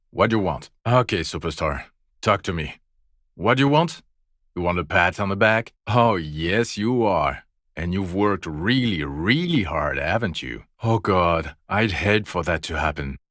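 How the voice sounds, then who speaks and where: An actor playing a world-weary, fed-up police detective in a video game, profane and sneering, with deadpan sarcasm. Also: male voice, deep voice, no room sound, studio quality, exaggerated voice. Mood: dry mockery, then scornful.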